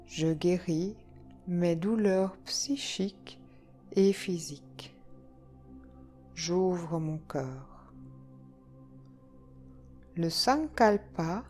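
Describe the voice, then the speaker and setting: A woman leading a guided yoga nidra relaxation, speaking calmly and soothingly in short phrases with long pauses. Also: fairly low pitch. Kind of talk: guided relaxation meditation, slow instructions. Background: soft background music.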